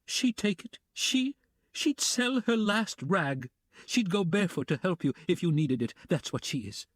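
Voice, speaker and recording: A man doing a shrill, frantic consumptive widow. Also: expressive, a little slow, no room sound, studio quality. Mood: pleading. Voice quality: hoarse, wailing.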